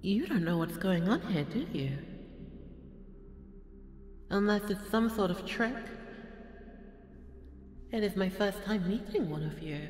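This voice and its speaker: Woman voicing a slow, echoing, haughty fire goddess. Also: mid-range voice, animated, a little mellow, a little hiss or hum. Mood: curious, intrigued. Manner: haughty, slow, drawling, with breathy murmured asides. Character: bored, volatile, haughty immortal fire goddess. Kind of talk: ASMR audio roleplay. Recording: echoey hall reverb with music behind.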